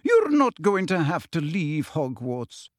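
A man voicing a brisk, reassuring older witch teacher. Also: fairly high pitch, huge pitch swings, no echo, clean studio sound, full character voice.

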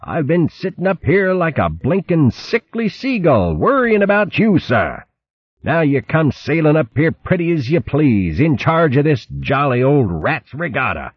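A man voicing an ancient male hare who blusters in a plummy, pompous way and keeps tossing in "what". His husky voice comes through a dull lo-fi audiobook recording. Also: a little high, big pitch swings, unhurried, no room sound, full character voice. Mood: scolding.

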